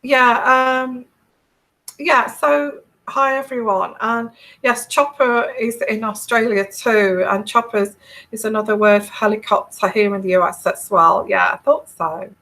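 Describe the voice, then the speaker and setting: A woman's voice: a little high, steady tone, unhurried, pushed and bright. A middle-aged woman with an English accent talks straight to her viewers in a loose, rambling way. She keeps restarting her sentences, fills the gaps with ums, and laughs easily. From England. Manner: rambling, chatty, laughs often, many fillers and restarts. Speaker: live-stream tarot reader. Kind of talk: casual live-stream chat with viewers.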